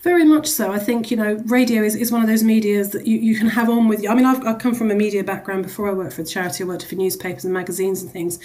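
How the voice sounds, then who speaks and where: A woman's voice: mid-range voice, steady tone, quick pace, hardly any pauses, a little mellow. A woman speaking as a charity executive in a radio interview, explaining the work in a conversational, wordy way with frequent asides.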